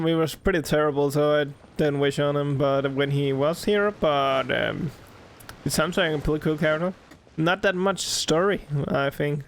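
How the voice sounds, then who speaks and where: A man giving casual, halting, rambling commentary while playing a game. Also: a little high. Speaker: gaming streamer.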